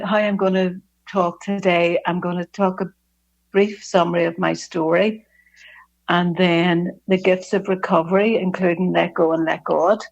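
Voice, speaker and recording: A middle-aged woman with a Belfast accent speaks in a lowish, gravelly voice. She talks earnestly and reflectively, pausing with small hesitations. The sound is dull and lo-fi, as over an online call. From Northern Ireland. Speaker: speaker at a recovery fellowship meeting. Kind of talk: personal testimony talk.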